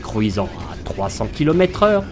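A man's voice: on the low side, very animated, normal speed, over music. A middle-aged man, a motorcycle vlogger, talking with ironic, self-mocking humor in voice-over and pit chat. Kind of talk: wry scripted voice-over and casual pit chat.

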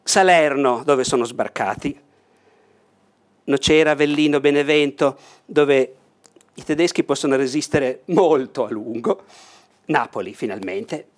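Older man, enthusiastic board game host, animated explainer, clip-on mic indoors. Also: mid-range voice, a little slow. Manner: animated, leans over table and gestures while explaining. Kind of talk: enthusiastic unboxing talk. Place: bright indoor room with a large table.

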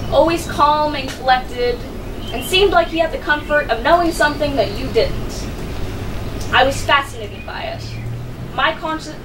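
A teenage girl giving a graduation speech as salutatorian, earnest and measured with a touch of self-mockery, speaking at a podium on an indoor stage. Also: sits high. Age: teens. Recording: distant mic with clear background noise.

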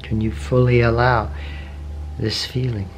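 A man guides a meditation in a soft, unhurried voice of middling pitch, letting long pauses fall between short phrases. His questions come gently and patiently. A faint hiss sits under his voice. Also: level tone. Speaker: meditation teacher. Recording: faint background noise with meditation music.

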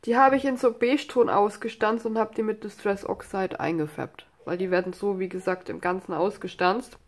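A woman's voice: medium pitch, fairly even intonation, moderate pace. A woman with a stuffy nose from a cold, chatting casually through a crafting tutorial at her desk, rambling with many fillers and asides. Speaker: craft channel host. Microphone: overhead camera filming hands on desk.

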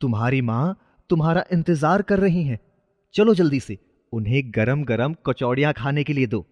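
A man's voice: medium pitch, moderate pace, darkish tone, no room sound, in a large hall, muffled recording, close to an everyday voice. A man voicing a friendly magical talking umbrella, a reassuring and obliging servant in a children's moral story. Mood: friendly reminding.

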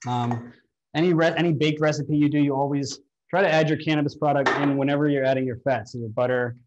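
A man's voice: fairly high pitch, steady tone, fast talker, noisy background. Man teaching a live cooking demo. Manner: instructive, walks viewers through steps. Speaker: cooking demonstrator. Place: large home-style kitchen, indoors. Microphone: far camera. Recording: dull lo-fi livestream audio.

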